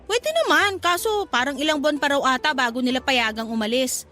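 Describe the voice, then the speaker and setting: A woman's voice: sits high, a little fast, sharp tone. A woman playing a gossipy, pragmatic young shop co-worker in a radio drama, talking in a sassy, slangy way. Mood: chatty.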